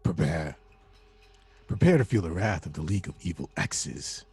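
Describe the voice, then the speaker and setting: A man's voice: sits low, animated, normal speed, warm tone, slightly rough, exaggerated voice. A man playing a cocky young action star villain in a table read, with a smug, laid-back bro drawl. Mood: menacing. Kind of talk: table read of a comedy film script.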